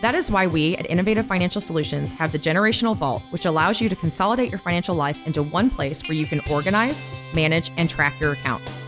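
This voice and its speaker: A woman's voice: on the low side, expressive, fast, hardly any pauses. A woman reading scripted promotional narration as an advertisement announcer. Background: guitar music.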